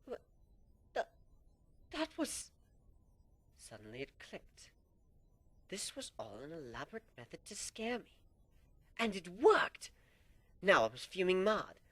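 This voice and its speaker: A man doing an expressive dramatic reading of fan fiction as narrator. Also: measured pace, pauses often, no room sound. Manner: expressive, swinging pitch, hushed in tense parts. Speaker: amateur story reader.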